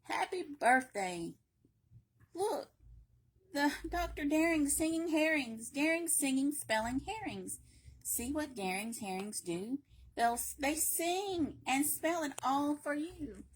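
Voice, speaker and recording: A woman reading a children's picture book aloud in a playful, expressive way, with emphatic repetition and chatty asides. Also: high-pitched, slow pace. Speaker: library read-aloud reader.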